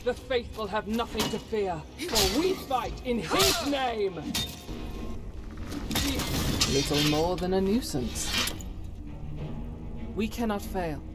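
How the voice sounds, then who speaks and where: A woman playing a devout, resolute holy warrior in a fantasy game, speaking in formal, earnest phrases over music. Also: medium pitch. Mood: fierce, righteous. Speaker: companion character in a game mod. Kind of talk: fantasy game character acting.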